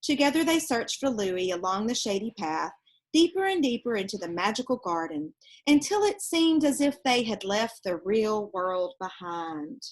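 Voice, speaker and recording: A woman's voice: a little high, sing-song, moderate pace. A woman reading a children's storybook aloud expressively, with casual asides explaining the pictures to young listeners. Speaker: storybook reader.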